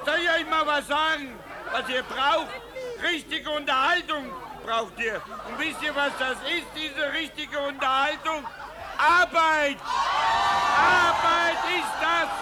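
An actor playing a crude, defiant vagrant who bluntly harangues rich revellers in a 1950s German radio play. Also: male voice, very high voice. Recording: 1950s radio recording, loud crowd noise. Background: loud crowd.

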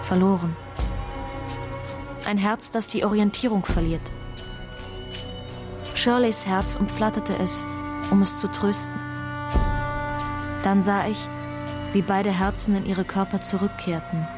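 Young, grieving storyteller played by a woman, lyrical and measured in broken phrases, poetic radio drama with music behind. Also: mid-range voice, steady tone, close to an everyday voice. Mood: sorrowful, haunted. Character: grieving young narrator recounting a father's collapse.

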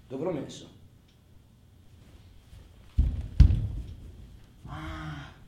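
Male convict, restless, foul-mouthed, halting colloquial monologue for radio theatre; slightly high voice, roomy sound. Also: fairly even intonation, noisy background. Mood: animated, eager. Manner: colloquial, halting, repeats himself, talks as if to a listener. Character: imprisoned adult man, restless, wistful, obsessive, foul-mouthed.

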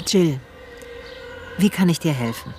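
An actor playing an attentive, bookish, quietly concerned man who speaks and narrates in a radio drama. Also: fairly high pitch, expressive, measured pace, background noise. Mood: attentive, questioning. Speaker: narrator and main character. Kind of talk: radio drama dialogue and first-person narration.

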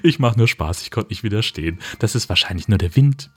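A man's voice: fairly low pitch, expressive, a little fast. A man voicing a teasing young female babysitter in an amateur podcast audio play.

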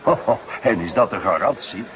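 Man acting a genial low-voiced police inspector. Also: animated, normal speed, muffled recording, a crowd in the background, close to an everyday voice. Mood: probing, calm. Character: genial, shrewd middle-aged Dutch police inspector. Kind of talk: Dutch radio drama acting. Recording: noisy, dated radio play recording.

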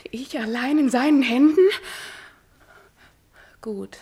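An actress playing a proud, desperate young daughter in a classical radio tragedy, declaiming intensely with a breathy voice. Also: female voice, high-pitched, slow, heavily acted. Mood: indignant, defiant. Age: young adult. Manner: intense, declamatory, swinging from hushed whispers to outbursts. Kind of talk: classical German stage tragedy as radio drama.

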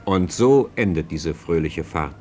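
Deep-voiced wry radio storyteller. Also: male voice, lively intonation, a little slow, darkish tone, a little hiss or hum. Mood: vivid, amused. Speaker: narrator. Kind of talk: lively present-tense radio play narration.

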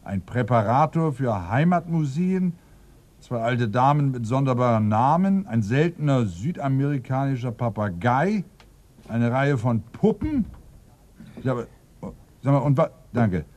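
An actor playing a sardonic, impatient police inspector in a radio play, with a dry, ironic and brusque manner. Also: male voice, mid-range voice, expressive, unhurried, darkish tone, lightly acted. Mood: musing, wry. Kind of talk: German radio play acting.

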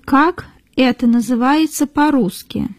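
A woman reading model sentences for learners in a careful, deliberate way, repeating each phrase. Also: sits high, dry recording. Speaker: native-speaker model reader.

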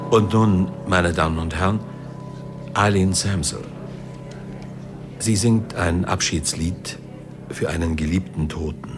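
A formal master of ceremonies in a radio play. His low voice announces a singer. Loud music plays along with him. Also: takes it slowly, long pauses. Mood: solemn. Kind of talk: stage announcement in a radio drama.

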